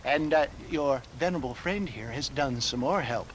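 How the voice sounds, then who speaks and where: An actor playing an elderly theatrical male con man in a video game cutscene, with flowery speech, frequent chuckles and drawn-out pauses. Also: mid-range voice, a little hiss or hum, natural voice, low-quality recording. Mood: jovial.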